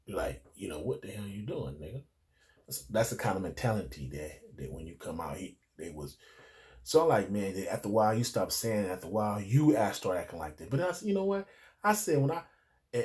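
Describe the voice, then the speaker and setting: A middle-aged American man speaks in a fairly low voice. He tells personal stories in a casual, unscripted way. He rambles and circles back through digressions, with plenty of street slang. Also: a little mellow. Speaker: storyteller recalling his youth.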